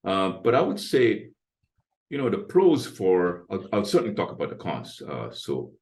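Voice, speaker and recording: A man in his sixties with a deep bass voice, talking at length as an interview guest and explaining his approach point by point. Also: wildly swooping pitch, normal speed, darkish tone. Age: 60s. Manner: talkative, explains with lists and asides. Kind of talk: casual interview talk.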